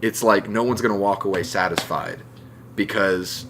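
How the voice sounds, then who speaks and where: A man's voice: on the low side, normal speed, noisy background. A man talking casually and emphatically on a discussion podcast, giving his opinions. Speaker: podcast co-host.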